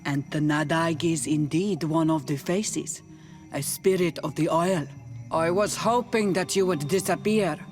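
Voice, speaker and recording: Cold, defiant female clan leader. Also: low voice. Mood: cold defiance. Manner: solemn, deliberate. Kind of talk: video game voice acting. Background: soft game music.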